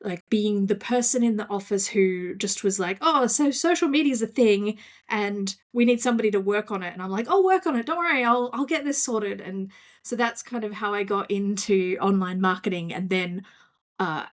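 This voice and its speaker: A woman's voice: mid-range voice, moderate pace. A woman with an Australian accent, a marketing coach telling her career story as a podcast guest in a chatty, self-deprecating way. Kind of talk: podcast interview.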